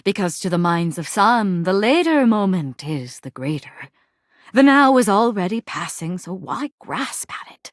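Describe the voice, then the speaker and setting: A woman playing a proud, brooding, war-weary commander in a video game, speaking in archaic, poetic lines with solemn pauses and heavy melodrama. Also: on the low side, no room sound, studio quality. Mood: disdainful, brooding. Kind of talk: dramatic video game character voice acting.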